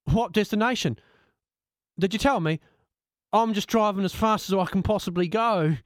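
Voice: mid-range voice, normal speed, no echo, clean studio sound, pitched above the performer's own voice, close to an everyday voice. A storyteller voicing a plain-spoken male taxi driver who lectures his passenger with blunt wisdom, in a read-aloud story. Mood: blunt arguing.

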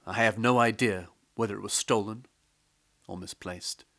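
A storyteller voicing an impatient king, loud and commanding, close to his own voice. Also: fairly low pitch, steady tone, normal speed, gravelly.

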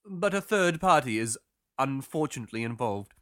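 A storyteller voicing an earnest-sounding but evasive male sailor in an emphatic, deliberate way. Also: mid-range voice, expressive, fairly bright tone, dry recording, studio quality, lightly acted. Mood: confessing.

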